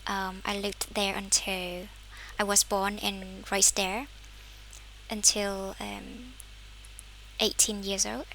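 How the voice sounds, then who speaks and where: Bright-voiced woman teaching English. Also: medium pitch, level tone, slow, gravelly, long pauses, slight background noise, low-quality recording. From Vietnam. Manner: encouraging, correcting students' English. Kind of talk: online English class conversation.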